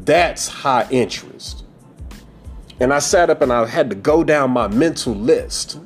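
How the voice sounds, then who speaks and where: A man's voice: medium pitch, animated, a little slow, faint music behind. A man in his forties hosting a podcast, giving a blunt, profane, preachy monologue about dating. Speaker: podcast host.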